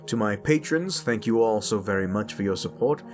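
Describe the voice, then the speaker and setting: A man's voice: lowish voice, lively intonation, moderate pace, music in the background. A man talking casually to his audience as the creator of an audio roleplay channel. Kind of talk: casual outro addressed to audience.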